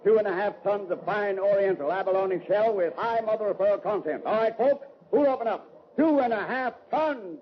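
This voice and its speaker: An actor playing a brisk, insistent auctioneer in an old radio western, calling out with clipped repetition. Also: male voice, high voice, natural voice. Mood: calling, urging. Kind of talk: 1940s-50s radio western acting. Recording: dull, hissy noisy old radio recording.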